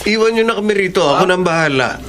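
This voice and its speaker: A man's voice: sits high, lively intonation, quick pace, over a noisy crowd. An actor playing a kind male stranger in a radio drama, firm and conciliatory as a peacemaker.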